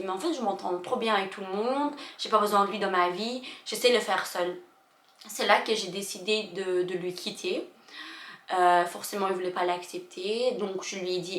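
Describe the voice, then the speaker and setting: A young woman vlogger, not a native French speaker, telling a personal story in an emotional, halting way with many fillers and restarts. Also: medium pitch, fairly bright tone. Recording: echoey room.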